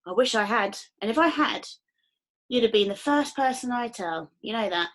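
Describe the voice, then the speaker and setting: Lady doing a crafty island dad, folksy and acting all innocent, voice pushed up higher. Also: expressive, moderate pace, a bit bright. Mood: sly. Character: sly island father feigning innocence.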